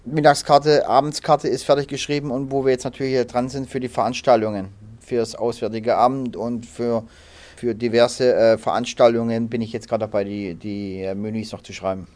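Chef in his thirties, male, halting and full of fillers, flat, unvaried delivery. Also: medium pitch. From Berlin, raised in southern Germany. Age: 30s. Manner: halting, many fillers and restarts. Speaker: head chef. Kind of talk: interview.

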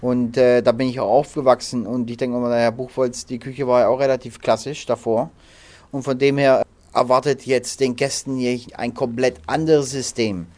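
A man in his thirties from Berlin, a head chef answering interview questions in a halting way with many fillers and restarts. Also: medium pitch, little pitch movement, darkish tone. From Berlin, raised in southern Germany. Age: 30s.

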